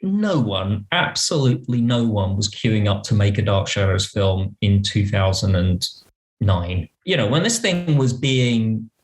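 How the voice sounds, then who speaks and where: A man's voice: fairly low pitch, expressive, measured pace. A man speaking as a podcast guest, discussing film conversationally in an articulate, opinionated way with emphatic phrasing. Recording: roomy, echoing sound.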